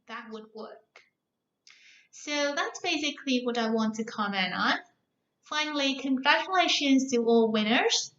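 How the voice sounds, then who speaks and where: In a muffled, echoey room recording, a woman with a fairly high voice gives feedback as a competition judge. She addresses students in an encouraging, instructive way. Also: a bit bright.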